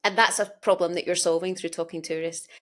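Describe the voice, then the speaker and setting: A woman's voice: on the low side, steady tone, quick pace, fairly bright tone. A talkative woman with a Glasgow Scottish accent, explaining her points conversationally as an interview host. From Scotland.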